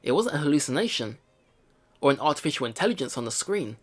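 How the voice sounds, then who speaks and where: A man's voice: on the high side, big pitch swings, fast. A man hosting a let's play, reading game text aloud expressively and adding casual commentary. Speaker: let's play video host. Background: game music.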